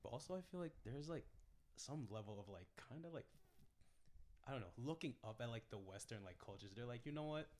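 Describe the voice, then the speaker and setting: A man's voice: medium pitch, fairly quick. A young man from the Philippines chatting casually as a podcast co-host, swearing freely. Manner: casual, swears freely, animated when quoting family. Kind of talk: casual chat. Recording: noisy recording.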